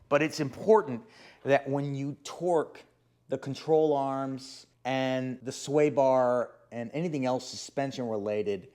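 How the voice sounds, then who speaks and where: A man with a hoarse, sore-throat voice, casually explaining car repair steps as host of his own channel. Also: mid-range voice, darkish tone. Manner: chatty, rambling, explains step by step. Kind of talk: casual DIY car tutorial.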